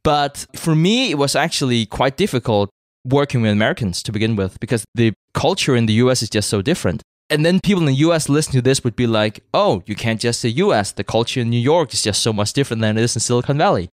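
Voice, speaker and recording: A man's voice: medium pitch, expressive, fast talker, no echo, clean studio sound. A man from Denmark with a Danish accent, speaking English as a second language as a podcast guest, in a conversational way with many fillers and repeated words. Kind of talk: interview conversation.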